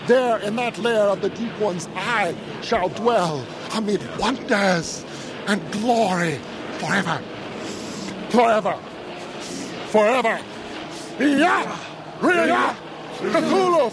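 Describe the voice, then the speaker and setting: A man's voice: sits high, background noise. An actor playing a haunted young man narrating a horror audio drama in an intense, literate, theatrical way. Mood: rapt, ecstatic. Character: haunted young male narrator, anxious, sliding into rapt madness.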